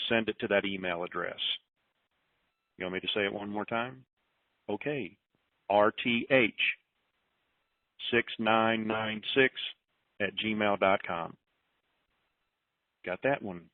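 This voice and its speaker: A man hosting an informal group call in a folksy, disorganized way, rambling through announcements and thinking aloud. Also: on the low side. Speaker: conference call host. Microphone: telephone. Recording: roomy, tinny phone conference line.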